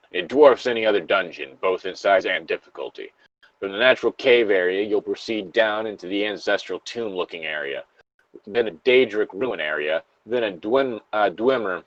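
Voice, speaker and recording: A man's voice: on the low side, no room sound, lo-fi sound. A man reading a horror story aloud as co-host, casually, with joking asides and the odd stumble. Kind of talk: casual co-reading of creepypasta with banter.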